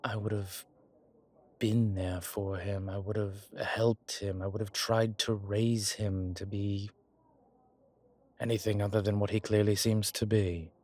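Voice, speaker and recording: An aged, genteel male vampire acted out by a man speaks in a low register at an unhurried pace. He is theatrical, formal and a little vain, pausing as he picks his words in a close, intimate audio drama. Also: little pitch movement. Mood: rueful, hesitant. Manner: theatrical, formal, hesitant pauses, soft chuckles.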